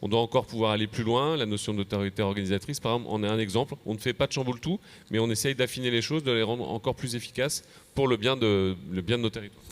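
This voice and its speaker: Fast-talking, low-pitched Frenchman presenting policy. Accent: French from France. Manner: halting, repeats words. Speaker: association official presenting a policy manifesto. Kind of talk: semi-prepared conference panel presentation. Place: convention plenary session.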